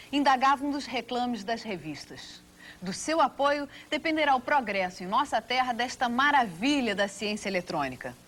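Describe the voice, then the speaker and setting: A Brazilian woman reading scripted documentary narration. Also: on the high side.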